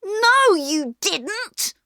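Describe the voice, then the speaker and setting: A proud, excitable young boy with a high, earnest voice, as a woman reader puts him on. He speaks slowly, with plenty of rise and fall, and the sound is close and dry. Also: dry recording, heavily acted. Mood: pleading.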